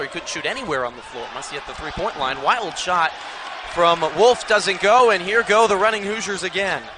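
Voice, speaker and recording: An American man doing live basketball play-by-play as an announcer, with an emphatic, projected broadcast delivery. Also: fairly high pitch. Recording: loud arena crowd noise behind. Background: crowd and basketball bounce.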